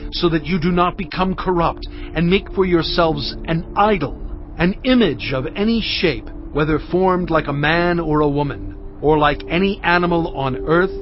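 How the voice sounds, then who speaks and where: A male actor plays a fervent prophet in a scripture audio drama. His higher-pitched voice preaches gravely and with measured emphasis. The sound is slightly dull, with music underneath. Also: lightly acted. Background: cinematic music.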